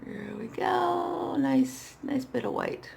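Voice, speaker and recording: A middle-aged woman with a hoarse voice, chatting casually and playfully to herself as she demonstrates makeup, often poking fun at herself. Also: high-pitched, expressive, slow pace, a little mellow, slight background noise. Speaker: makeup vlogger. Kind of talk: casual makeup tutorial chat, talking to herself while working. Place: small indoor setup with dark backdrop.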